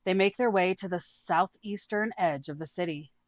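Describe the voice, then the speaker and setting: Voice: medium pitch, normal speed, no echo, clean studio sound. A person reading a scripted fantasy adventure story aloud as its narrator. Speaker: storyteller, tabletop campaign player.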